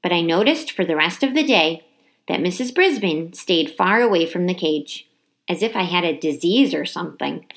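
A woman reading a children's chapter book aloud as expressive storybook narration, with dramatic emphasis on key words. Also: lowish voice.

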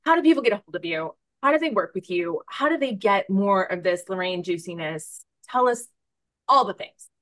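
A woman hosting a podcast in casual conversation, effusive and informal, thinking out loud as she talks. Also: medium pitch, lively intonation, moderate pace, no room sound. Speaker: podcast host interviewing a guest.

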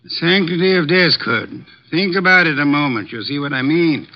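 A man's voice: a little high, normal speed, lightly acted. An actor playing a pompous, commanding male detective in a 1950s radio drama, speaking in formal, pedantic sentences, on a muffled old broadcast recording. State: short of breath.

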